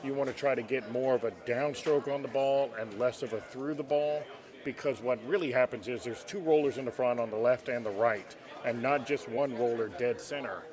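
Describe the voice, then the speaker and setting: A man with a deep voice giving laid-back color commentary from an announcer's booth. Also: moderate pace. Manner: laid-back, explains technique. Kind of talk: live esports commentary. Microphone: booth microphone. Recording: busy event with crowd noise. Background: crowd chatter.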